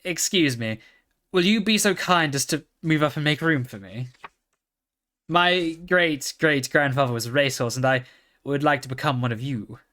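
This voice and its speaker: A man's voice: fairly high pitch, normal speed, sharp tone. A man voicing a polite, hopeful little brown pony in a storybook, speaking with earnest, formal courtesy.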